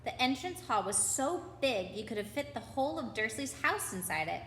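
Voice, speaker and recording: A woman with a fairly high voice reads a storybook aloud to children, chatting to them as she goes. The room is clearly echoey and there is some background noise. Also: very animated, moderate pace, a bit bright. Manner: chatty asides to young listeners.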